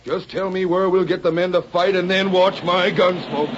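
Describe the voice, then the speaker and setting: High-pitched, fiery Western lawman played by a man. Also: steady tone, moderate pace, lightly acted. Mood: indignant. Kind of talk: old-time radio western acting. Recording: dull, noisy old radio recording.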